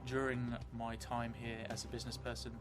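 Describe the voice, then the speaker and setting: A middle-aged man improvises narration for a tabletop game. His voice sits at an average pitch, and he speaks reflectively, feeling his way through each phrase. Music plays clearly behind him. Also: monotone, fairly quick. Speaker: solo role-playing game streamer. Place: small indoor room, seated at a desk. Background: background music.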